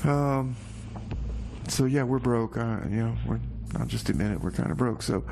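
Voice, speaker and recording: Man hosting radio over clear background noise. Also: medium pitch, moderate pace, a little mellow, low-quality recording. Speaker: radio show host. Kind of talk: casual comedic community radio patter.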